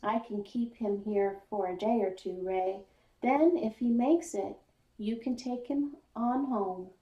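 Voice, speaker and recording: A woman's voice: a little high, takes it slowly, muffled recording. A woman voicing a plain-spoken, matter-of-fact male country doctor in a children's story. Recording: roomy echo, distant mic.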